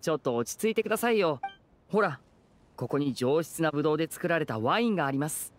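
An actor playing a courteous, smooth-talking teenage magician in an anime game. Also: male voice, fairly high pitch, lively intonation, fast talker, slight background noise, close to an everyday voice. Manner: courteous, persuasive.

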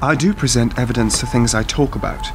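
A man acts a wry male lecturer in a radio play, sceptical and questioning. His voice sits at a middling pitch, over a faint bed of background noise. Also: fairly quick, close to an everyday voice. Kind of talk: radio drama acting, dialogue.

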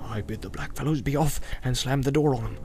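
A man's voice: medium pitch, a little fast, darkish tone, natural voice. A man voicing a good-hearted, flustered rustic innkeeper in rambling, stammering, folksy speech for an audiobook. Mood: hushed, fearful. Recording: music behind the voice.

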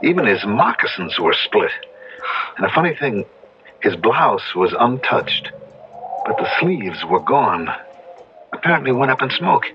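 An actor playing a weary, shaken but skeptical young rancher husband in a 1970s radio drama, talking conversationally with dramatic pauses. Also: male voice, medium pitch, big pitch swings, muffled recording, background noise. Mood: shaken, animated. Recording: band-limited old radio broadcast, music underneath.

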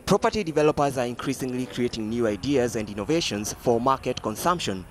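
A male news reporter reads a business feature in a measured, formal way. His voice sits at an ordinary, middle pitch. The read is clean and steady. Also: a little hiss or hum. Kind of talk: scripted news narration.

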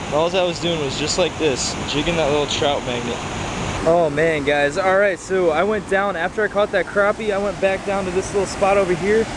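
A young man with a slightly high voice narrates his fishing trip in a chatty, excitable way, speaking to his viewers and even to the fish. He is recorded outdoors by a pond on a head-mounted action camera, with wind and water noise clearly in the background. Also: a little fast. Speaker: fishing vlogger. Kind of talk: casual first-person commentary while fishing.

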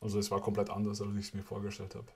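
A man's voice: on the low side, completely flat delivery, fast, slightly rough. A young man interviewing a friend in a casual, reflective, conversational way, seated at a table indoors. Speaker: interviewer, vlog host. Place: small wood-panelled room indoors, at a table.

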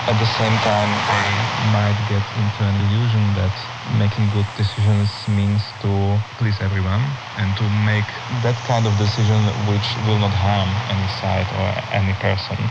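Low, gravelly man over noisy shortwave radio. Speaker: interview guest, chess-based management advisor. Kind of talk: thoughtful interview answers. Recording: muffled shortwave radio with loud static. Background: shortwave radio static.

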